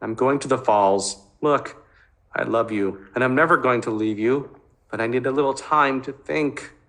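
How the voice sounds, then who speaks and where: A man acts out a tormented fifteen-year-old boy from a storybook. He is defiant and guilt-ridden, pressing his words out in an agitated, insistent way with a forceful, bright tone. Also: mid-range voice, moderate pace, voice pushed up, close to an everyday voice. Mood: tender, hurried. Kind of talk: dramatised novel reading.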